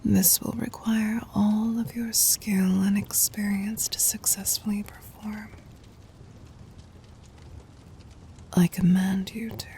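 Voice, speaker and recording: A woman playing a gentle, dominant demon queen in an ASMR roleplay, teasing and intimate, in a breathy whisper. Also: mid-range voice, a little hiss or hum. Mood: seductive command. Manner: slow, intimate, commanding.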